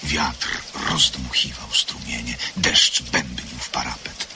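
A man's voice: extremely low pitch, takes it slowly, noisy background, exaggerated voice. A man narrating a literary radio drama of suspense as a solemn storyteller. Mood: grave, measured. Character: solemn male storyteller of a gothic tale.

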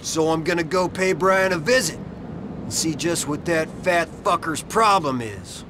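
An actor playing a jaded, blunt American outlaw biker gang leader in a video game cutscene, foul-mouthed and sardonic. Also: male voice, a little slow, lightly acted. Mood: weary, resolved. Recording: noisy, street and vehicle rumble behind.

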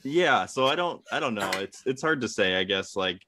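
A man's voice: medium pitch, expressive, moderate pace, background noise. A young man giving his opinion in a casual, conversational debate. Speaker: debate contestant. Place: room at home, video call.

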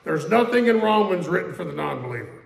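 Gravelly high-voiced male preacher, echoey church. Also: very animated. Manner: conversational preaching, repeats questions, quotes scripture slowly. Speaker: pastor. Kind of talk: sermon. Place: church sanctuary.

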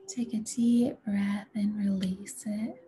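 A woman leading a guided meditation in a gentle, soothing, unhurried way, with a soft, breathy voice that often nears a whisper. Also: medium pitch, steady tone, a little hiss or hum. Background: music.

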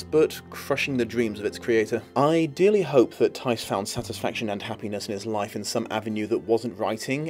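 A man's voice: medium pitch, a little mellow. A man in his thirties, an online reviewer delivering a scripted video essay in an articulate, wry way. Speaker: online book reviewer and host.

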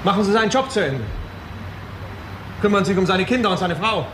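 An actor playing a brusque but caring boss who coaxes and scolds in a crime radio drama. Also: male voice, high-pitched, expressive, fairly quick, roomy sound, background noise. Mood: encouraging.

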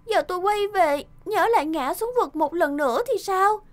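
Very high, eager young princess. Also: female voice, normal speed. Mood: pleading. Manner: eager, insistent. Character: plucky, pleading young princess in fairy tale. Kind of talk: animated fairy-tale dubbing. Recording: music behind the voice.

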